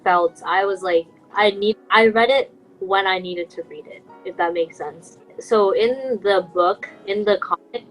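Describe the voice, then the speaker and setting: A woman's voice: mid-range voice, unhurried, few pauses, faint music behind, poor audio. A young adult woman co-hosting a casual podcast among friends, talking in an earnest, self-deprecating, enthusiastic way. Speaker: podcast co-host.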